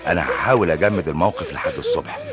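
An actor playing a calm, persuasive Egyptian colleague in a TV drama. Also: male voice, low-pitched, rapid-fire, over music. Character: level-headed male engineer colleague.

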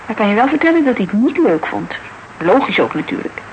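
An actress playing a lively, shrewd young detective's associate in a Dutch radio crime drama, talking colloquially and emphatically, as if thinking aloud. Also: female voice, a little high, a little fast, slight background noise, poor audio. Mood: animated recounting.

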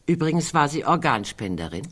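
An actress in a radio play voicing a morbid, sardonic woman who muses bluntly among friends, sometimes dropping to hushed tones. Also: deep voice, very animated, a little fast, breathy edge, full character voice. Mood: gossipy, relishing.

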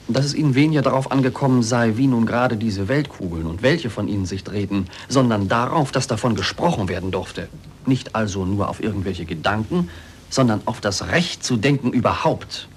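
An actor playing a scientist's former male pupil in a 1940s radio drama, formal, measured and coolly reproachful. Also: on the low side, natural voice. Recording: noisy 1940s radio recording.

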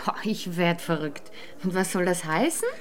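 An actress playing a curious, quick-witted woman in a German radio detective drama, questioning with playful skepticism. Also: on the low side, close to an everyday voice. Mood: excited, teasing.

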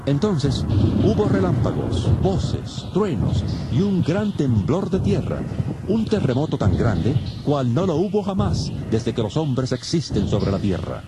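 A man's voice: fairly high pitch, very animated, a little slow, very noisy. A man narrating scripture in a solemn, dramatic audiobook style. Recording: loud music under the voice.

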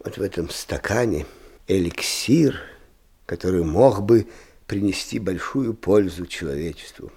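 An actor playing an eccentric old schoolteacher-inventor in a radio play, agitated and dreamy, muttering haltingly and repeating himself between exclamations. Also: male voice, low-pitched, animated, warm tone, husky, heavily acted. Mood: wistful explaining. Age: older. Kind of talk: theatrical radio play acting.

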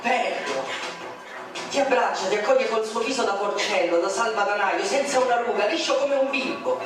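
A narrator reciting a poem in a measured, ironic way. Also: mid-range voice. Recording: very echoey, distant, music behind.

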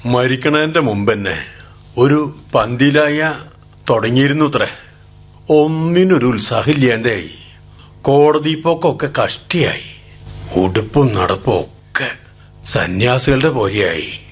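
An actor playing a village man who slowly recounts rumours, rambling and hearsay-laden. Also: medium pitch, animated, slightly rough. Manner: slow, rambling, hearsay storytelling. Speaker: actor in radio drama. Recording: old AM radio broadcast.

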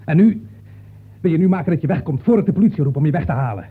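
An actor in a Dutch radio play, playing an irritable, rattled writer who talks in a curt, exasperated way. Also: male voice, on the high side, animated, rapid-fire, husky. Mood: firm, exasperated. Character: rattled, irritable male novelist, curt and weary. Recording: muffled phone-like old radio recording.

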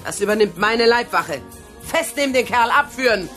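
A woman's voice: fairly high pitch, animated, normal speed, a bit bright, soft background music. A woman playing an imperious, irritable mayor in a radio drama, commanding and indignant.

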